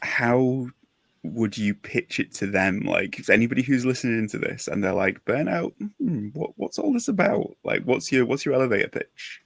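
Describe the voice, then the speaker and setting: A man's voice: medium pitch, very animated, moderate pace, gravelly, slightly rough, no room sound. A man interviewing in a casual podcast chat, informal and enthusiastic in manner.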